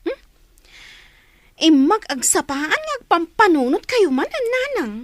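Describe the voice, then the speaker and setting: An actress playing a dutiful, caring young daughter in an Ilocano radio drama, earnest and expressive. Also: female voice, very high-pitched, unhurried, no room sound, exaggerated voice. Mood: concerned. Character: dutiful young unmarried daughter, caring and exasperated with her brother.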